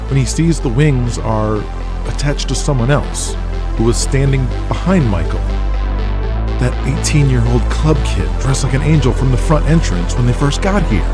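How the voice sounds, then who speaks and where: A man narrating an audio drama as its host, in a dramatic scripted storytelling style. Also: mid-range voice. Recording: music behind the voice. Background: music bed.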